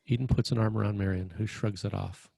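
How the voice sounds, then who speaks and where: A man's voice: on the low side, steady tone, fast, creaky, warm tone, breathy edge, dry recording. A man reading screenplay stage directions as narrator, in a plain, descriptive manner.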